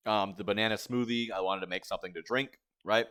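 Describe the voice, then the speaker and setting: Low-voiced young man chatting on stream. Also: fairly even intonation, quick pace, fairly bright tone, clean tone. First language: English. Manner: rambling, chatty, reads chat aloud. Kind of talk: casual livestream chat.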